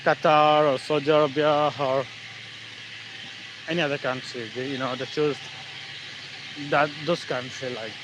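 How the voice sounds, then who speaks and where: A Bangladeshi-accented man speaks at a middling pitch, halting and full of fillers as he walks outside. His voice comes through a phone mic with faint traffic hum behind. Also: fairly even intonation. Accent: Bangladeshi English. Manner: halting, many fillers and restarts. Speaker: channel host, English learner. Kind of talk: casual live-stream chat. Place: outdoors on a street in the evening, walking.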